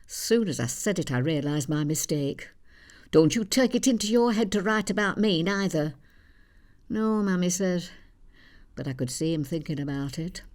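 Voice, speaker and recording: A woman's voice: mid-range voice, a little mellow. An actress playing an elderly, plain-spoken Yorkshire mother, telling a dry, gossipy monologue in a conversational way. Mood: wry, bemused. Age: older. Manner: dry, matter-of-fact, gossipy. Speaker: actor in a theatre monologue. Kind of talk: conversational storytelling stage monologue.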